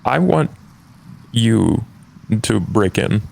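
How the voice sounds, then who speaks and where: A man playing a courtly, hesitant vampire in a tabletop game, with a gravelly voice and halting, formal speech. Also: on the low side, very animated, darkish tone, exaggerated voice. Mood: hesitant, intimate.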